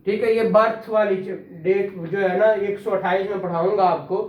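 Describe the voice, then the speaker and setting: A man's voice: sits high, fairly even intonation, moderate pace, lo-fi sound. Man, Pakistani Punjabi accent, law teacher, conversational classroom lecture, questioning, distant mic, roomy echo. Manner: conversational, questioning, acts out courtroom exchanges. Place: indoor classroom. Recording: echoey room, distant mic.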